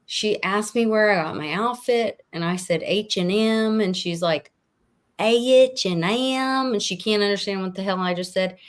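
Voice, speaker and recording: A woman with a Southern American accent, co-hosting a casual chat and telling a story. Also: lowish voice. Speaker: podcast co-host.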